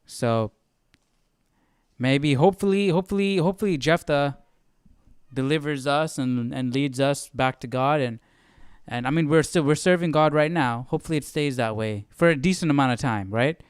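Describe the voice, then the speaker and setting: A man's voice: a little high, normal speed, clear, resonant tone, no echo, studio quality. A man hosting a Bible study livestream with casual, conversational commentary, repeating phrases for emphasis.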